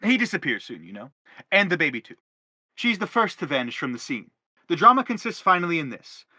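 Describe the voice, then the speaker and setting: A man's voice: fairly high pitch, sing-song, brisk, sharp tone, no room sound, heavily acted. A man playing a self-justifying middle-aged father in a stage play, philosophizing earnestly in a wordy, declamatory way. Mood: earnest explaining. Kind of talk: theatrical stage-play reading.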